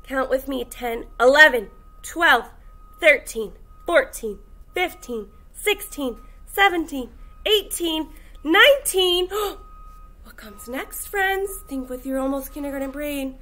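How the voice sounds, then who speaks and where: Young female kindergarten teacher for a children's read-aloud lesson; bright, high voice, exaggerated and encouraging. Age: young adult. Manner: animated, exaggerated, encouraging, slow enunciation for small children. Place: indoors, classroom.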